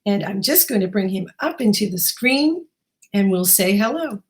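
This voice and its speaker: A woman's voice: mid-range voice, animated, moderate pace. A woman hosting a book show, introducing her guest and asking questions in a conversational interview style. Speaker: talk show host interviewing an author.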